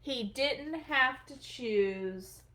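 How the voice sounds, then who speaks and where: A woman, a children's librarian, reading a picture book aloud at bedtime in a gentle, expressive storytelling manner. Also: sits high, very slow, a little hiss or hum.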